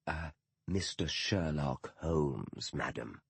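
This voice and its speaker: A man voicing a courteous English gentleman detective, speaking slowly with polite, formal diction. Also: low-pitched, expressive, slightly rough, no echo, exaggerated voice.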